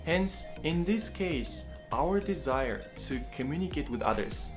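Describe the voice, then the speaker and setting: A man's voice: fairly high pitch, unhurried, music in the background. Young man giving study tips. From Kazakhstan. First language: Kazakh. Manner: friendly, addresses viewers as guys, lively emphatic starts. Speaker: language-learning vlogger. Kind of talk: casual study-tips talk to camera.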